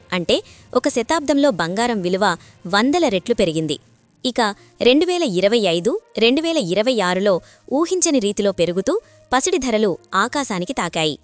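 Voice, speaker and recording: A woman's voice: mid-range voice, sing-song, fairly quick, soft background music. A woman narrating a scripted news report.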